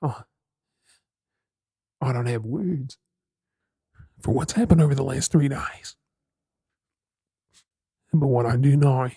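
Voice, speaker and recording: An Australian man playing a troubled, remorseful young man in an ASMR audio drama, with a husky, breathy voice. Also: medium pitch, dark tone, no echo, studio quality.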